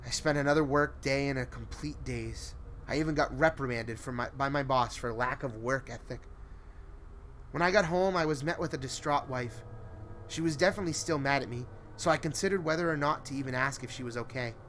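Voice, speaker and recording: A man's voice: mid-range voice, a little fast, a bit bright. A man tells a horror story in the first person with a mid-range voice. His delivery is brooding and emotive, and noticeable ambient noise sits behind him. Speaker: narrator.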